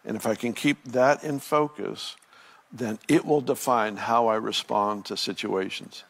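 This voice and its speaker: A man's voice: fairly low pitch, fairly even intonation, unhurried, gravelly, a bit bright. A man in his sixties, a pastor talking with a guest in an earnest, reflective way with frequent restarts. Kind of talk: conversational ministry interview.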